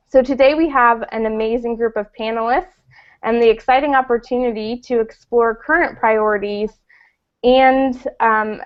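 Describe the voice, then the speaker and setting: A woman with a fairly high voice moderates a live online discussion, moving things along briskly. Her audio comes through a muffled video call line. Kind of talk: hosting a live online hangout.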